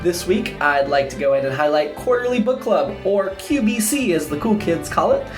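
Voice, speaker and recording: Lady podcast host doing the intro, deep for a woman, guitar playing behind her. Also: expressive, fairly quick. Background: guitar music.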